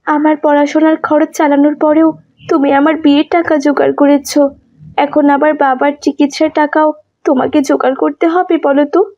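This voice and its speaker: A young cartoon sister played by a woman, high and mellow in voice. She is caring and earnest, pleading with feeling, on slightly dull lo-fi audio. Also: flat delivery, moderate pace. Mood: upset, protective. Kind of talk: Islamic moral cartoon dialogue.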